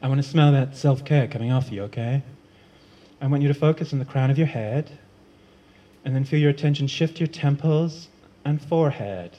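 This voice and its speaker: A calm wellness-guru type, as a man puts them on, coaching in a soft, soothing way. The voice stays gentle and unhurried. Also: medium pitch, lo-fi sound, natural voice.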